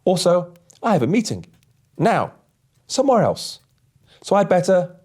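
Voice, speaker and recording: A man's voice: high-pitched, sing-song, a little slow, darkish tone, pitched above the performer's own voice, heavily acted. A man voicing a pushy, overconfident male tech lead in a storybook, with a breezy know-it-all manner. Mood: sheepish.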